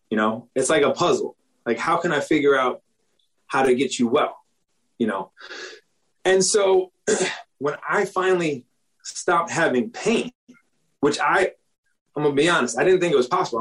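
A man in his forties, a doctor, talking casually in an interview, often checking in with "okay?" and "you know?" and clearing his throat now and then. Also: medium pitch, low-quality recording. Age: 40s. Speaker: doctor, guest.

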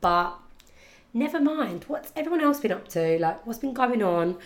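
A young woman with a husky, congested voice from hay fever, chatting casually and rambling to her podcast listeners. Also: a little high, big pitch swings, moderate pace. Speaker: podcast host. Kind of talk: casual chat.

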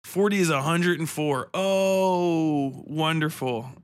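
A man's voice: a little high, slow pace, smooth. An American man from the Midwest hosting a podcast in casual, rambling solo chat, irreverent, sweary and self-deprecating. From Cincinnati, American Midwest. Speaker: podcast host.

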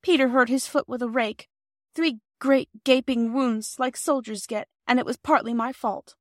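A woman's voice: on the high side, fairly even intonation, normal speed, no echo, clean studio sound, voice pushed up, natural voice. A woman voicing an anxious, earnest young girl who frets and blames herself. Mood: fretful. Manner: earnest and fretful.

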